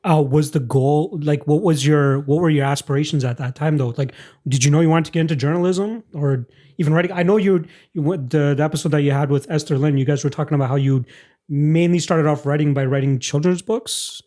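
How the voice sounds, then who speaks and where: A man hosts a podcast interview in a relaxed, casual way. He rambles through his questions and jokes along with his guest. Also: fairly high pitch, brisk. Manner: chatty, joking, asks rambling questions. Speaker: podcast host.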